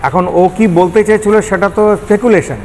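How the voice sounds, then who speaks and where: A man's voice: high voice, fairly even intonation, moderate pace, darkish tone. An older man with a Kolkata Bengali accent, explaining step by step as an expert interview guest and mixing in English technical terms. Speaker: expert guest, former air traffic control manager. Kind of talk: explanatory news interview answers. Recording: echoey hall with background noise.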